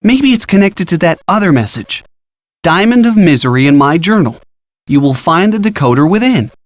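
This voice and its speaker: An actor playing a helpful, upbeat college-age boyfriend with an American accent, giving casual, encouraging detective hints in an adventure game. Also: male voice, sits high, very animated, moderate pace, no echo. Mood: curious, encouraging. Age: young adult. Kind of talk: scripted game hint dialogue.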